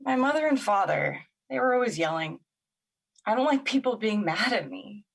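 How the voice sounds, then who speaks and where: A woman's voice: on the high side, big pitch swings, moderate pace, gravelly, heavily acted. Woman playing a wary, vulnerable young woman. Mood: vulnerable, confessing. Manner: casual, hesitant, slangy. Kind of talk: audio drama acting.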